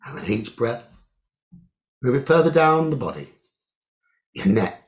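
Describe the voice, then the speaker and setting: A man leading a guided meditation, giving gentle instructions in short phrases with long pauses, on a muffled recording. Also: medium pitch, very animated. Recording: muffled, dull lo-fi audio.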